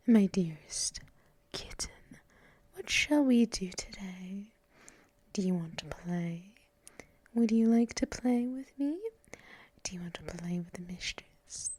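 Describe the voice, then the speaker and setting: Woman acting a slow, whispering, doting mistress. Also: on the high side, dry recording, exaggerated voice. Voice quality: breathy, mostly whispered. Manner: slow, cooing, teasing, drawn-out pauses and hums. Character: doting, teasing, gently dominant mistress pampering her pet. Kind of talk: unscripted ASMR roleplay, addressed to the listener. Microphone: close microphone.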